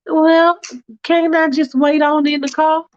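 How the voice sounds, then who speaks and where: A woman puts on a prim, fussy lady who whines in a high, babyish sing-song. She sounds squeaky and put out, her pitch riding well above a normal speaking voice. The recording is a little muffled and lo-fi. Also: level tone, slow. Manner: prissy, whiny, talks in a high sing-song.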